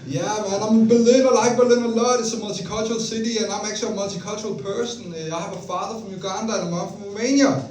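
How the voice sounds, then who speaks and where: High-voiced Danish man doing stand-up. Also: steady tone, quick pace, hardly any pauses, a little mellow. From Denmark. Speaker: stand-up comedian. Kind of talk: stand-up comedy routine. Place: live comedy venue with audience. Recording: roomy, distant mic, audience noise.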